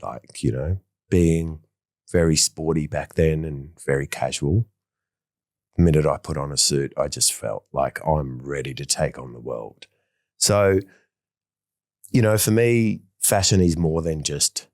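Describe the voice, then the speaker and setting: Middle-aged man, deep bass voice, dry close mic, warm rambling guest. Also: no room sound. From Australia. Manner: enthusiastic, rambling, many fillers and restarts. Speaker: fashion designer, interview guest. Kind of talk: casual interview conversation.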